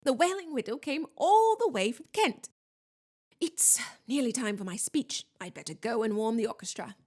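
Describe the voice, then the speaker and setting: A woman's voice: a little high, moderate pace, a little mellow. A woman voicing a proud, touchy male ghost with a stiff, formal and faintly bitter manner in a storybook. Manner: stiff, formal, easily embittered.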